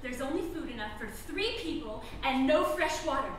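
A woman's voice: high voice, lively intonation, normal speed. An actress playing an intense wife in a drama, goading her husband with insistent, theatrical, melodramatic urgency. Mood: insistent, pressing. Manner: insistent, melodramatic, swooping into shouts. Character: intense, goading wife pushing her husband through a cruel imaginary game. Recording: roomy, distant, faint noise.

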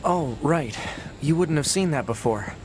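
A man playing a boyish, curious young android soldier in a video game, talking in an expressive, conversational way. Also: on the high side, a little slow, a little hiss or hum. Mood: casual, musing.

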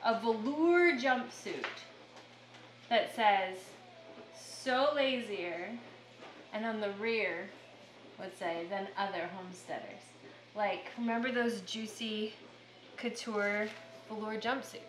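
A woman's voice: medium pitch, slow pace, pauses often, echoey room, slight background noise, poor audio. A woman in her thirties, a homestead vlogger, chatting playfully and teasing her husband in their home kitchen. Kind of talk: casual chat.

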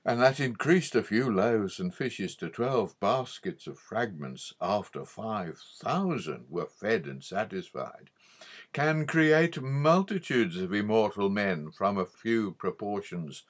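A man narrating an old religious audiobook in a formal, measured way with emphatic stresses. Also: on the low side, sing-song. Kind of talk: scripted audiobook reading of an old religious book.

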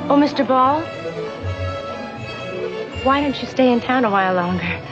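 A woman's voice: high voice, lively intonation, normal speed. An actress playing a poised, persuasive young American townswoman in a 1960s TV western. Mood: wry, coaxing. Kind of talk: 1960s television western acting. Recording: dull old TV soundtrack with music.

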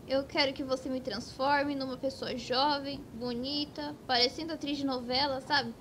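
An actress voices a whiny old Brazilian granny in a cartoon sketch. The voice is high-pitched and mournful, pleading like a child. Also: female voice, fairly even intonation, normal speed, fairly bright tone, slight background noise. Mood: whiny, eager. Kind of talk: cartoon comedy sketch acting.

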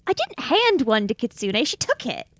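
A woman with a fairly high voice chats casually as a player in a tabletop roleplaying game. She talks through her character's choices, and the recording is dry and close. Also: huge pitch swings, normal speed, fairly bright tone, no echo.

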